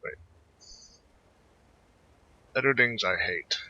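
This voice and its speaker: Male gamer, lowish and very sharp-edged voice, halting casual commentary that stumbles and rambles, dull lo-fi mic. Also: dry recording. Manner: halting, rambling, trips over words. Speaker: gamer recording a playthrough. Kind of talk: casual gameplay commentary, muttering and reading text aloud.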